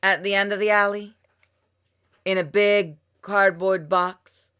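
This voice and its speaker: A woman reading a story game aloud in an expressive narration, acting out exclamations and asides playfully. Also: mid-range voice, takes it slowly, clean tone. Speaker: gamer reading a visual novel aloud on a playthrough. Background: music with plucked guitar.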